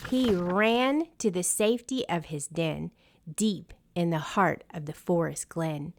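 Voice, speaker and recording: A woman narrating a children's picture book in an expressive, deliberate storyteller's manner. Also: fairly low pitch, slight background noise. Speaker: storyteller and channel host.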